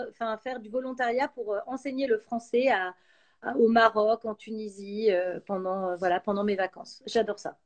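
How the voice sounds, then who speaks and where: A woman, chatting casually and enthusiastically as a guest on a live stream. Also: on the high side. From France. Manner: lively, enthusiastic, many fillers. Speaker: actress and YouTuber, guest.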